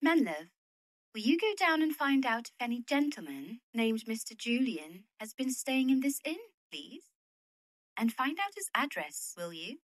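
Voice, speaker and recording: A woman's voice: on the high side, big pitch swings, moderate pace, no echo. A woman playing a genteel, evasive young widowed lady, polite and a little hesitant, in an audio drama. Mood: flustered. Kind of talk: radio play acting.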